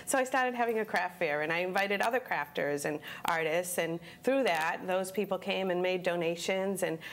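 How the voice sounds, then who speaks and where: Laughing, lower-voiced woman from the Dominican Republic. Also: normal speed. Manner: laughs easily, switches into Spanish. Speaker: guest, fundraiser. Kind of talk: casual interview chat. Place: TV studio.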